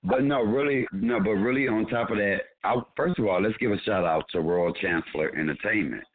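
A man with a deep voice, a comedian guest joking and teasing in a radio chat. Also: brisk. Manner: playful, teasing, laughs often. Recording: tinny phone line.

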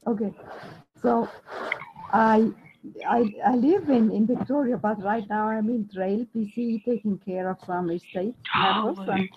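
A woman's voice: medium pitch, unhurried. Austrian-accented woman on a muffled call. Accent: Austrian German. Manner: hesitant, many restarts and fillers. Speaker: class participant. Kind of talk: casual spoken introduction. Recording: muffled lo-fi call line with faint noise.

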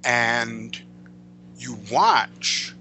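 A man speaking as an interview guest, explaining writing craft conversationally in a digressive, emphatic, halting way. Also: medium pitch, expressive, sharp tone, slight background noise. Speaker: interview guest, comic book and screen writer.

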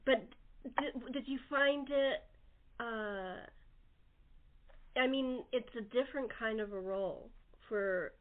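A woman's voice: on the high side, slow, long pauses, narrow, tinny audio. A woman hosting a casual, chatty cast interview on a talk show. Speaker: host and producer of an audio theater talk show. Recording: thin, band-limited call-in show audio.

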